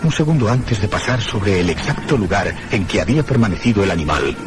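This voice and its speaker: An actor playing an uneasy, curious traveller in a suspense radio drama, speaking in a formal, literary way. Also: male voice, on the low side, lively intonation, a little fast, music in the background, lightly acted. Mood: suspenseful. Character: uneasy, curious male traveller recounting a mysterious journey. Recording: radio drama with loud background noise.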